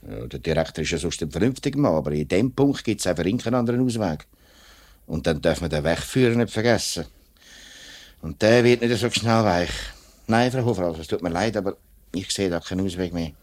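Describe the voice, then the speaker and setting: An actor with a Swiss German accent playing a straightforward, conscientious factory workers' representative in a 1950s radio drama, speaking plainly and earnestly. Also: male voice, sits low, expressive, fairly quick. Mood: regretful but firm. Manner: plain-spoken, earnest, colloquial. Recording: noisy old 1950s radio recording, clicks.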